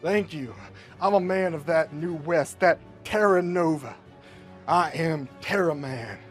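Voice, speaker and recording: A man's voice: fairly high pitch, unhurried, faint music behind, voice pushed up, close to an everyday voice. A man voicing a cocky, folksy cowboy gunslinger in a told superhero story. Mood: triumphant. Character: cocky male cowboy gunslinger villain, folksy and self-assured.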